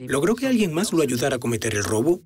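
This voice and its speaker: A man voicing a Spanish voice-over translation of an interviewed agent, telling the story in a lively way. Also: mid-range voice, quick pace, crowd noise.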